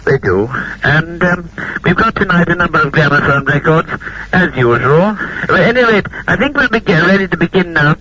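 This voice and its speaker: A man's voice: a little high, lively intonation, moderate pace, lightly acted. Man playing a bumbling 1920s radio announcer, muffled sound. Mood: sheepish rambling. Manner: hesitant, rambling, bumbling. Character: flustered, jokey 1920s radio announcer at the microphone. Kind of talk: recreated early radio broadcast. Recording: dull radio sound, crowd noise behind.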